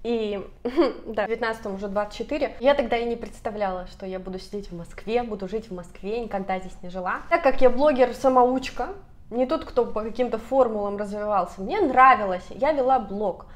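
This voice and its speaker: Woman in her thirties, vlogger, animated talk to camera, lapel mic, apartment room. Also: fairly high pitch, a little fast. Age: 30s. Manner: animated, gestures a lot with both hands. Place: bright apartment room indoors.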